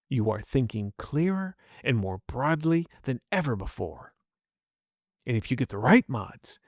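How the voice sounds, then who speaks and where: A man's voice: medium pitch, creaky, no echo, studio quality. A man voicing a smug, calculating cyborg villain who boasts theatrically in an audio story monologue. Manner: theatrical, boastful, relishing his words.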